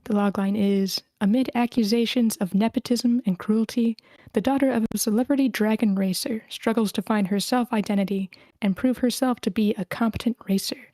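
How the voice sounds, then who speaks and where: A woman's voice: a little high, fairly even intonation, moderate pace, mellow, no room sound. A woman hosting a screenplay table read, switching between informal, encouraging chat and narration of stage directions. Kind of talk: casual hosting and script narration.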